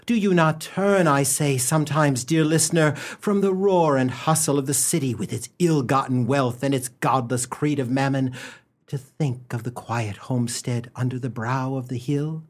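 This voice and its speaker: An actor playing a florid, mock-solemn radio narrator who addresses the listener theatrically. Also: male voice, mid-range voice, fairly even intonation, normal speed, lightly acted. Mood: grandiose, chiding. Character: florid, mock-solemn storyteller, easily exasperated. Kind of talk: comic dramatic storytelling for radio.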